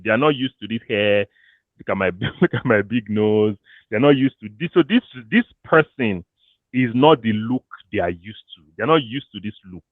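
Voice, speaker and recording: A Nigerian man giving career advice to an audience in a casual, animated way, slipping into pidgin and laughing often. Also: fairly low pitch, no room sound. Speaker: career coach. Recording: thin phone-like dry audio.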